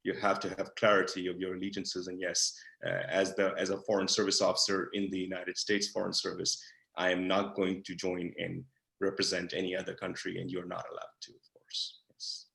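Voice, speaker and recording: A man's voice: sits low, completely flat delivery, fairly quick, creaky, a bit bright. A man, a diplomat, answering audience questions in a thoughtful way, with frequent fillers and restarts. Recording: roomy echo.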